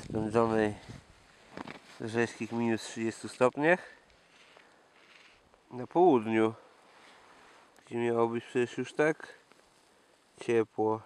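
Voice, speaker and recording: A man talking casually and jokingly in a deadpan way, as an expedition cyclist filming a travel vlog outdoors. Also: medium pitch, slow, long pauses, smooth. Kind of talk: casual, tongue-in-cheek travel vlog talk. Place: outdoors on a frozen lake in wind. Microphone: camera microphone. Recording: noisy windy outdoor camera audio.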